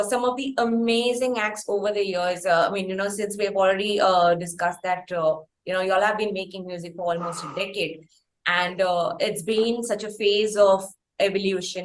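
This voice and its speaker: A woman's voice: mid-range voice, poor audio. Indian woman asking wordy interview questions. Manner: wordy, hedging with frequent "you know". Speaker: interviewer, podcast host.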